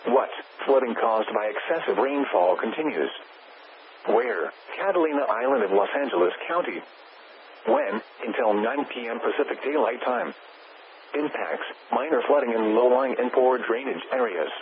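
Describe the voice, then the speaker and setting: A synthetic American man's voice reading formal automated weather bulletins over weather radio, with flat delivery and clipped headings. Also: mid-range voice, moderate pace, creaky. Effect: weather radio broadcast. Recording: narrow tinny radio band, loud static noise.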